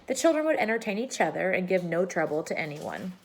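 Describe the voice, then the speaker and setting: A woman reading a children's story aloud with expressive narration. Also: lowish voice, fast talker, a little hiss or hum, rough recording. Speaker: storybook reader.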